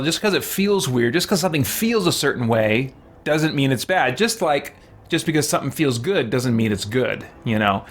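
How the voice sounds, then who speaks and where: A man with a mid-pitched voice hosts a gaming session in a casual, reflective way. He thinks aloud, hesitating and circling back to qualify what he says. Music plays underneath his talk. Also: fairly bright tone. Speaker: host of a game let's-play. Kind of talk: casual reflective chat to viewers. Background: background music.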